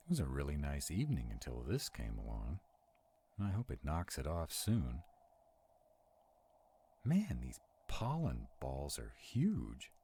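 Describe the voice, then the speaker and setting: A man's voice: a bass voice, dark tone, husky, heavily acted. A man playing a sarcastic, protective vampire in a conversational audio roleplay. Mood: curious, musing. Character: sarcastic male vampire, protective of a young demon companion.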